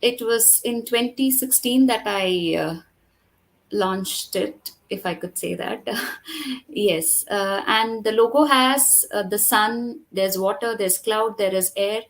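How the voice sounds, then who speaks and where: A woman from Kerala whose first language is Tamil, talking as a storyteller guest, explaining in a measured way with frequent fillers. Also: mid-range voice, poor audio. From Kerala, India. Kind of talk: conversational interview and storytelling.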